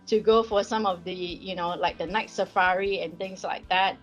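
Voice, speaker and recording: A woman's voice: lowish voice, steady tone, moderate pace, lo-fi sound, soft background music. A woman from Singapore with a Singaporean accent, talking casually as a risk advisory partner in a workplace interview. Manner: friendly, conversational with fillers.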